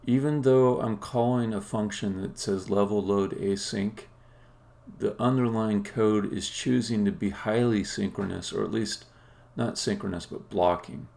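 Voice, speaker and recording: A man who is a game developer, talking casually and haltingly as he thinks aloud during a live coding stream. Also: fairly low pitch, steady tone, vocal fry, darkish tone.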